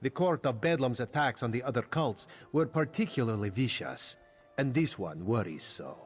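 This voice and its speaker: A wily feline spy in a fantasy game, with music underneath. The delivery is theatrical and wry, swooping expressively in pitch as he speaks of himself in the third person. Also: lively intonation, normal speed, breathy edge. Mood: worried, resolute. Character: wily male cat-man spy, wry, loyal, dramatic. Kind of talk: video game character dialogue.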